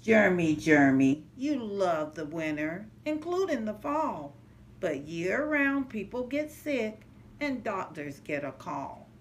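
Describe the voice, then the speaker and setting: A middle-aged woman reading a children's picture book aloud in a measured, sing-song rhyming way. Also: medium pitch, big pitch swings. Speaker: early childhood center manager reading to children. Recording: noticeable background noise, home recording.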